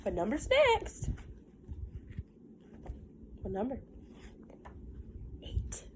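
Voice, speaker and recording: High-voiced Southern US woman teacher. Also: huge pitch swings, extremely slow, a little mellow, slight background noise, low-quality recording. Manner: sing-song when reading, folksy and conversational in asides. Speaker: teacher reading aloud to children. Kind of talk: picture-book read-aloud with casual asides.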